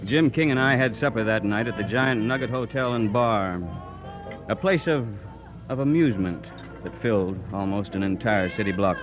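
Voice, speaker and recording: A man's voice: fairly low pitch, moderate pace, music in the background, natural voice, low-quality recording. An American man acting the part of a well-dressed Easterner back out West in an old-time radio Western drama. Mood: measured narrating. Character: Will Coleman, well-dressed Easterner returned to the gold-rush West. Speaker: lead actor and narrator.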